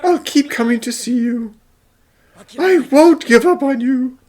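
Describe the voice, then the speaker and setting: A woman's voice: medium pitch, very animated, moderate pace, a little mellow, close to an everyday voice. A woman voicing an earnest, devoted young male suitor in an anime dub. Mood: determined. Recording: background music under the dialogue.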